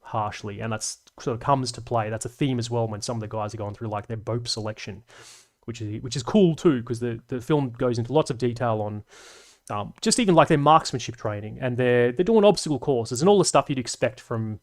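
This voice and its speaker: A man's voice: medium pitch, a little fast. A young man hosting a live stream, rambling and swearing casually as he reads chat and laughs along.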